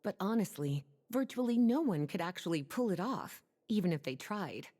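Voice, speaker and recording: A woman voicing a poised, wry librarian in a video game who speaks in a languid, gently teasing way. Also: lowish voice, animated, moderate pace, slight background noise, close to an everyday voice. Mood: calm. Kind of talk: game voice acting. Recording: soft game music underneath.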